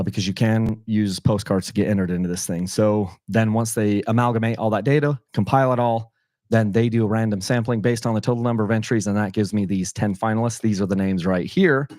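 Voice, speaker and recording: A middle-aged man with a slightly hoarse voice, hosting a casual livestream from his house and rambling with frequent self-corrections. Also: on the low side, fairly quick, darkish tone, no echo, clean studio sound. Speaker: channel host running a sweepstakes livestream.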